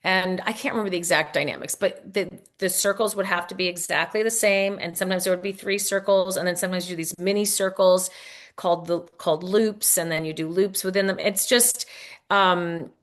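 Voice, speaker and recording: A woman's voice: lowish voice, steady tone, a little fast. A woman author speaking as an interview guest, explaining in a casual, rambling way with self-corrections.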